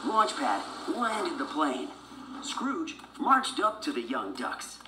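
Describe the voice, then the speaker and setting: A woman's voice: low-pitched, sing-song, slow, echoey room, very noisy. A woman narrating a children's adventure storybook from a script. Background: music.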